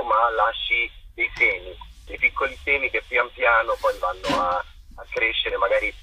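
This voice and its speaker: A young man explaining his theatre work in an interview, haltingly, restating phrases as he searches for words. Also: fairly low pitch, bright, forceful tone, slight background noise, rough recording. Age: young adult. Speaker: theatre maker being interviewed.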